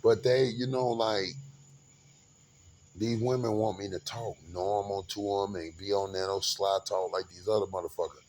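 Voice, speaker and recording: Man, forties, lowish voice, rambling, grandiose, restarts a lot. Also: steady tone, normal speed, darkish tone, slight background noise. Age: 40s. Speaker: vlogger. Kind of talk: rambling monologue.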